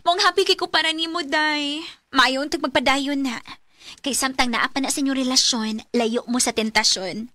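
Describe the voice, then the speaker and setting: A woman's voice: sits high, moderate pace, pushed and bright, dry recording. An actress with a Cebuano Filipino accent playing a candid, teasing young female confidante in a radio drama. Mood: approving.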